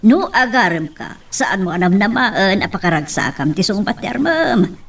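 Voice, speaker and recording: A bantering mother in an Ilocano love drama, acted by a woman. Her pitch swings widely over an ordinary female register, with an Ilocano accent. She sounds set back from the microphone. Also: sing-song, moderate pace, gravelly, fairly bright tone, slightly rough, distant mic, heavily acted. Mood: teasing. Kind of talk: Ilocano audio drama acting.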